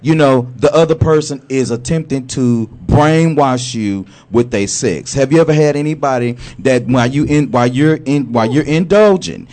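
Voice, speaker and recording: A man co-hosting a casual group chat, telling stories in a drawn-out way with plenty of fillers. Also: mid-range voice. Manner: animated, drawn-out storytelling with many fillers.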